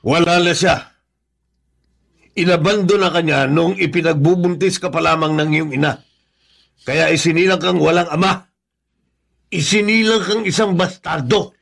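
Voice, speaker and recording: A man's voice: on the high side, normal speed, gravelly, pauses often, fairly bright tone. Solemn Filipino father, remorseful. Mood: grave, pained. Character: remorseful, firm father admitting betrayal. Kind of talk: Filipino radio drama acting.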